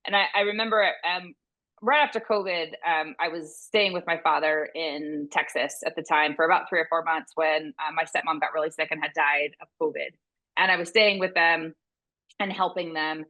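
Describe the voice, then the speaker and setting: A woman who works as a veterinary business consultant, speaking as an interview guest in a loud, intense, passionate way. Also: low-pitched, steady tone, moderate pace, rough recording.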